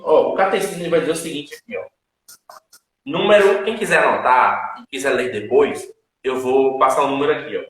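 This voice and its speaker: A fairly high-voiced man hosts a home live stream. He talks in a casual, emphatic teaching way, often checking in with his listeners. His voice rings through a very echoey room. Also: a bit bright. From Salvador, Bahia, Brazil. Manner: chatty, repeats tag questions, emphatic. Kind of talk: casual teaching chat with viewers.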